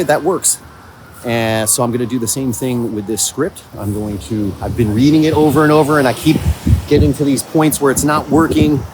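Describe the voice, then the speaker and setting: Man's casual video diary, noisy location sound. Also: medium pitch. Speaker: audio drama writer and creator. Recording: noisy location recording with vehicle and ambient noise.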